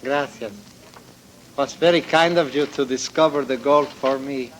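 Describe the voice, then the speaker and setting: An actor playing a menacing Mexican ex-army sergeant turned bandit in a 1940s radio drama, mock-polite and deliberate, with a Spanish accent. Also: male voice, medium pitch, steady tone, a little hiss or hum, close to an everyday voice, poor audio. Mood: mock-polite menace.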